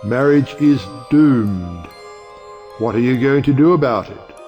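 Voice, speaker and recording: An actor playing a wry, provocative middle-aged judge and uncle in an audio play, speaking in a rhetorical, oratorical way. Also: male voice, medium pitch, lively intonation, measured pace, highs cut off, natural voice. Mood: impassioned, scornful. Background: music.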